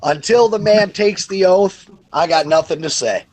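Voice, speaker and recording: High-voiced chatty dad hosting a livestream. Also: male voice, normal speed. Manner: chatty, laughs often, repeats words when starting a thought. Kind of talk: casual group video chat. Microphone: phone.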